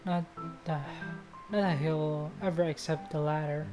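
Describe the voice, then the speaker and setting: Low-voiced woman reading a story aloud, music behind. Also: fairly even intonation, measured pace. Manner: stumbles over words and rereads phrases. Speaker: streamer. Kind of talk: reading visual novel narration aloud on stream.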